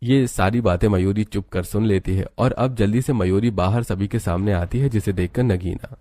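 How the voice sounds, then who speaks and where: Low, dark-voiced male story narrator. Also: normal speed, faint music behind.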